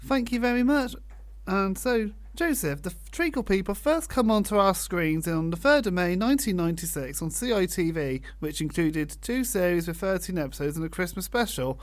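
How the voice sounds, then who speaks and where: Polite radio interviewer, dry sound. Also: male voice, high voice, animated, normal speed, dry recording. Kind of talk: prepared interview questions.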